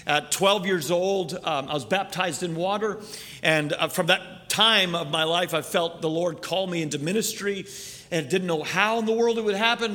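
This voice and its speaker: Earnest Canadian-raised preacher, slightly high. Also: male voice, bright, forceful tone, big-room sound. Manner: earnest, halting with fillers. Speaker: missionary preacher. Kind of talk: sermon introduction. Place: church sanctuary.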